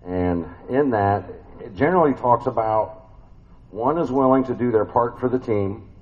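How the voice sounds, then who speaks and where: A man's voice: mid-range voice, moderate pace, phone-quality sound. A man with a big voice preaching a family sermon in a church, conversational and folksy, with many fillers and restarts.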